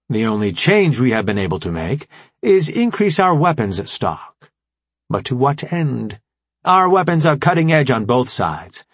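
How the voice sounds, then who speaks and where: A man voicing a formal, logical artificial intelligence robot leader in an audiobook, explaining things in precise, measured language. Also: mid-range voice, slightly rough, dry recording, voice pushed up. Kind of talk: audiobook character dialogue.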